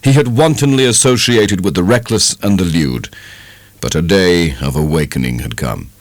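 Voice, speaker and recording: A man with a British English accent giving a measured, dramatic reading of a literary novel as an audiobook narrator. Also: sits low, no echo. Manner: measured, expressive storytelling.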